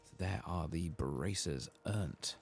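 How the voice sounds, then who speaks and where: A man speaking softly and often whispering in a hushed, murmuring way as he hosts an ASMR game playthrough. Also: deep voice, takes it slowly, faint music behind. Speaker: host of a gameplay ASMR series. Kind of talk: soft-spoken and whispered ASMR game playthrough, reading quest text aloud.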